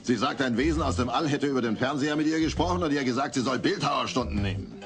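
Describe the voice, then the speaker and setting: Actor as an exasperated, fast-talking husband. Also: male voice, medium pitch, faint music behind, lightly acted. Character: exasperated husband, complaining about his wife. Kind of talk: German comedy radio play acting.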